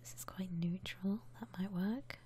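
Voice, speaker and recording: Woman whispering asmr style up close, soft n mellow, thinking out loud while gaming, bit of background noise. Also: medium pitch, measured pace. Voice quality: soft breathy whisper, almost entirely unvoiced. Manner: gentle, musing aloud to herself. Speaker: ASMR gamer narrating her house renovation gameplay. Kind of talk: ASMR, casual commentary on gameplay.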